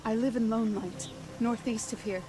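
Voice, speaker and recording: An actress playing an anxious, pleading villager in a video game, earnest and worried in manner. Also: female voice, a little high, fairly even intonation, moderate pace, noisy background, natural voice. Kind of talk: cinematic game dialogue.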